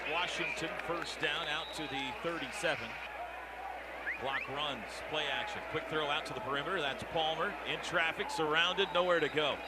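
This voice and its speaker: An American man calling football play-by-play on the radio in clipped phrases, getting excitable on big plays. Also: on the high side, moderate pace. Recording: very noisy stadium radio broadcast. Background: loud stadium ambience.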